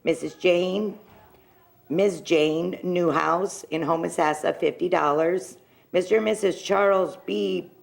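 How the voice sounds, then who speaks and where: Gravelly-voiced woman reading donor names. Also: on the low side, steady tone, takes it slowly. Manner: bubbly and enthusiastic, stumbles and apologizes over hard names. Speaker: local business owner donating to a telethon. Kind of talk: casual chat, reading donor names aloud. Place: television telethon studio. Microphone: handheld microphone.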